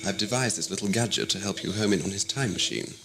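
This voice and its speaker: A man playing a smug, condescending alien schemer in an audio drama, measured and theatrical. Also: lowish voice, creaky, fairly bright tone, slight background noise. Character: scheming, suave, condescending male renegade Time Lord villain.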